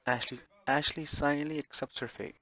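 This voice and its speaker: A man's voice: a little high, steady tone, normal speed, bright, forceful tone, no room sound, rough recording. A man reading a visual novel aloud as dramatic narration, sometimes stumbling and repeating phrases. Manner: stumbles over words and re-reads phrases.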